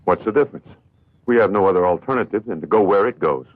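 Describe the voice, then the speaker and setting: An actor playing an irritable, impulsive traveller in a dubbed vintage horror film, husky and brusque, though able to turn polite. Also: male voice, on the low side, expressive, quick pace. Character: irritable, impulsive man stranded on a trip, protective of car. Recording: muffled tinny old film soundtrack.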